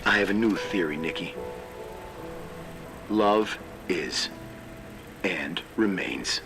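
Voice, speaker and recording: A man playing a weary, brooding man in a game cutscene, speaking poetically and deliberately over music. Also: deep voice. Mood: solemn, musing. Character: weary, tormented man, grieving philosophically in a farewell monologue. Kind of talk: dramatic game cutscene monologue. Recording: music behind the voice.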